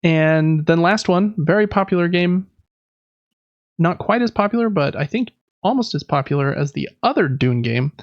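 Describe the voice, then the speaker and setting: A man with a fairly high voice and a creaky edge talks casually as a podcast host. He explains things informally. The sound is close and dry, slightly dulled. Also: no echo, highs cut off. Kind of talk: casual podcast talk about board games.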